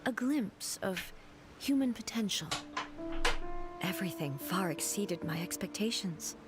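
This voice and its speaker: A woman's voice: medium pitch, animated, natural voice. A woman voicing a solemn goddess ruler. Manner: measured, solemn delivery. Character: regal female thunder goddess ruler, solemn and composed. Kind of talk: video game dialogue. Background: game music.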